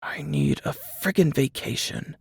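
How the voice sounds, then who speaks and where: A narrator voicing a weary, capable male field agent, speaking in a hushed, clipped way in a suspense audiobook. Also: mid-range voice, wildly swooping pitch, a little slow, vocal fry, breathy edge, no echo, clean studio sound, full character voice. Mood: weary, muttering. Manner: hushed, clipped radio-protocol delivery.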